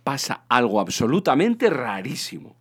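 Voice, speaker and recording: A man playing a bewildered, doubting ordinary man lost in space in a comic science fiction audiobook, hesitant and questioning, with a husky voice. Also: medium pitch, very animated, moderate pace, fairly bright tone.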